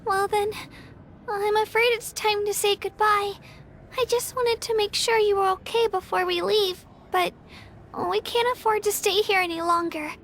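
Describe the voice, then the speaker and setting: A woman's voice: steady tone, moderate pace, a bit bright, a little hiss or hum. A woman playing a small, brave and humble young girl in a video game, earnest and sincere, with a childlike voice. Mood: wistful, earnest. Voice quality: high, childlike. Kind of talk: dramatic video game acting.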